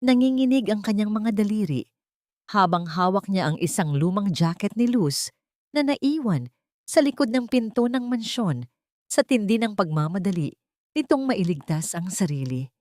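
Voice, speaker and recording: A woman's voice: mid-range voice, sing-song, moderate pace, darkish tone, no room sound, clean studio sound. A woman narrating a scripted audio drama in a solemn, literary storytelling manner.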